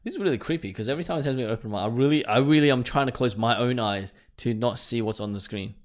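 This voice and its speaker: A man hosting a let's play, reading game text aloud and reacting in a casual, expressive way. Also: medium pitch, fast. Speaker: gaming video host. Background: game music.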